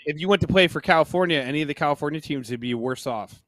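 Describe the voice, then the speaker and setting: A man's voice: fairly high pitch, fast, fairly bright tone, no echo. A man co-hosting a hockey podcast, giving casual, opinionated sports talk and repeating himself for emphasis.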